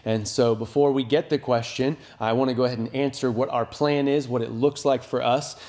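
A man's voice: medium pitch, steady tone, fairly quick, darkish tone. An American man in his thirties, a pastor giving an informal, earnest update to his congregation in a plain-spoken, reassuring way. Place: small indoor room with wood-plank walls.

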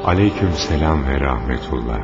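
A revered holy man in a religious cartoon, with an extremely low voice and measured, benevolent manner. He sounds solemn and formal. The audio is dull and lo-fi, with long reverb and faint music behind him. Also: lively intonation. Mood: solemn, kindly. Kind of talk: dubbed religious cartoon acting.

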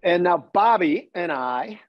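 A man hosting a podcast over a video call, briskly steering the talk to the next segment. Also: high-pitched, animated, highs cut off. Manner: brisk, moves the show along. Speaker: podcast host. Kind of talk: casual hosting.

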